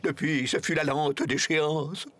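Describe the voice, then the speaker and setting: A man's voice: a little high, fairly even intonation, measured pace, lightly acted. An actor playing a vain, washed-up clown villain in a French cartoon, pompous and melodramatic in his speech. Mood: despondent. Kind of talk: French animated cartoon acting. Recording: loud music behind the voice.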